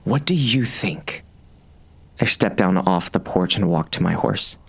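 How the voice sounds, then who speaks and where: Weary gunslinger narrator, really deep n gravelly, sardonic, western audiobook. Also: male voice, animated, measured pace, a bit bright, full character voice. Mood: brooding. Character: wry, weary male bounty hunter narrating.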